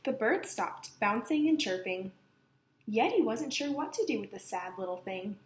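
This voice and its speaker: A woman narrates a picture book for children in a lively, swooping voice of medium pitch. She leans on words for dramatic effect. The room is echoey, with slight noise behind her. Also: moderate pace. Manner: expressive, dramatic emphasis for children. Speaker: storybook reader. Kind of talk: animated children's read-aloud narration.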